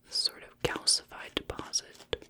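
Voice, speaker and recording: A woman softly whispering in an ASMR sci-fi roleplay as a calm, attentive medical technician, murmuring short observations to herself. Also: normal speed. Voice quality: soft, breathy whisper.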